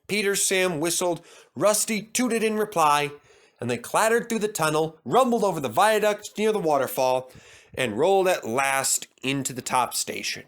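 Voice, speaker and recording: A man narrating a children's storybook with slow, expressive storytelling. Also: fairly high pitch. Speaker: storybook reader.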